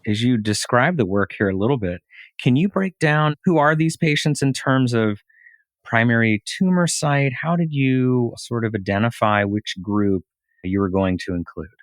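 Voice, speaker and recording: A man's voice: medium pitch, lively intonation, normal speed, no room sound. A man hosting a podcast, speaking as an interviewer. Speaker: podcast host.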